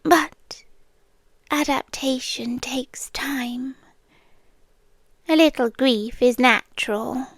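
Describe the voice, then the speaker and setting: A possessive yandere sea creature played by a woman speaks in a high British voice, lingering very slowly over each phrase. She is sweetly menacing, soft and half-whispered. It is an intimate ASMR roleplay, close on the mic and completely dry. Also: no room sound, heavily acted. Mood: tender, cooing. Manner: soft, breathy half-whisper, drawn-out pauses. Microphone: close ASMR microphone.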